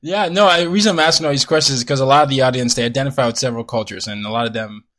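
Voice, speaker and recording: A man's voice: medium pitch, rapid-fire, fairly bright tone. Curious man hosting an interview. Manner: curious, friendly, recaps and asks questions. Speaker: interview host.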